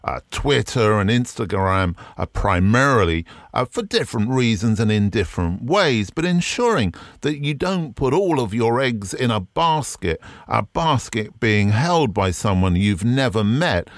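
A man's voice: medium pitch, sing-song, unhurried, few pauses, no room sound, clean studio sound. A man hosting a podcast alone, talking in a conversational, reflective way with frequent ums. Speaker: podcast host. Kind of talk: casual podcast monologue. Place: garden shed.

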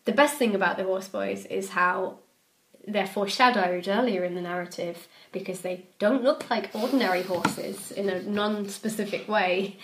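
A woman's voice: medium pitch, normal speed. A woman in casual banter as a co-host, blunt, dry and teasing. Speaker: podcast co-host. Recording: echoey room, audible background noise.

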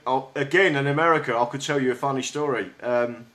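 A man's voice: medium pitch, quick pace, a bit bright. A man with a South London accent hosting a radio show, talking in a casual, hesitant way with many restarts. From England. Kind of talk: casual interview chat. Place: radio studio. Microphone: studio mic with big headphones.

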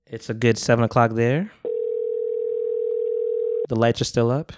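A man's voice: mid-range voice, fairly even intonation, a little slow, a little hiss or hum. A man in his thirties hosting a podcast, chatting casually and joking with a laid-back manner. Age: 30s. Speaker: podcast host.

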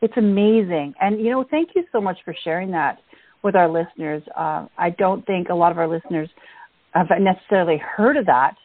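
A woman hosting a podcast interview, effusive and quick to praise her guest. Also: fairly low pitch, slightly rough, no room sound. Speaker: podcast host. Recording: dry, tinny phone-like line.

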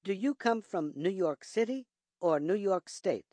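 A man's voice: high-pitched, expressive, a little slow, dark tone, dry recording. A man playing a polite radio caller from China in scripted English-teaching dialogue. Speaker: phone-in caller.

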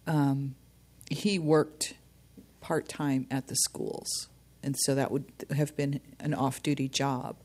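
A woman's voice: very deep voice, fairly even intonation, slow, darkish tone. Chatty woman reminiscing in conversation. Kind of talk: casual podcast conversation.

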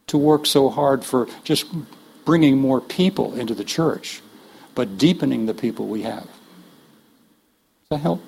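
Gravelly man teaching pastors. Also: medium pitch, a little mellow. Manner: earnest, joking asides, frequent restarts. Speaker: workshop leader teaching pastors. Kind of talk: conversational teaching talk.

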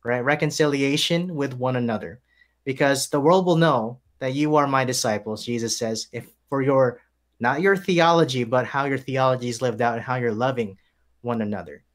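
A man's voice: mid-range voice, normal speed. Emphatic male pastor on a panel. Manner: emphatic, preacherly. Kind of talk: panel discussion.

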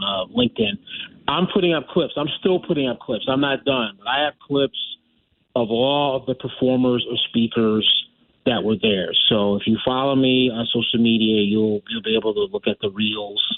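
A man's voice: medium pitch, no echo. Middle-aged man on a tinny phone line. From United States. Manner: rambling with restarts and self-corrections. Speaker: radio guest. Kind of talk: casual phone interview. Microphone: telephone.

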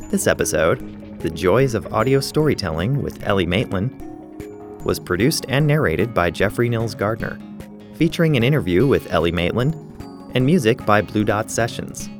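A man reading scripted narration as the narrator of a podcast. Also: fairly low pitch. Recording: clear music bed behind the voice. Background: music.

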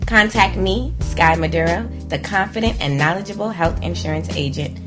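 A woman's voice: fairly low pitch, normal speed. A woman reading a scripted radio advertisement as an insurance agent, addressing the listener in a direct, punchy way. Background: music bed.

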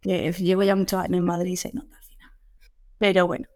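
A woman's voice: fairly low pitch, level tone, fast, a little mellow. Joking Andalusian-born woman guest. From Andalusia, Spain. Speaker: comic author, guest. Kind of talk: casual radio interview.

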